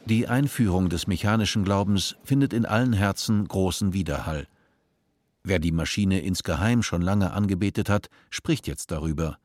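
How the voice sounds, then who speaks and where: A man narrating a radio play in a measured, serious tone. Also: sits low, darkish tone, dry recording, studio quality. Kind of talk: scripted narration.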